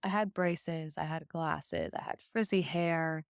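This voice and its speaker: A woman's voice: low-pitched, slow pace, dry recording, clean studio sound. A young adult woman whose first language is Persian, speaking as a podcast guest in a candid, chatty way with frequent fillers. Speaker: interview guest, violinist. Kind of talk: casual podcast conversation.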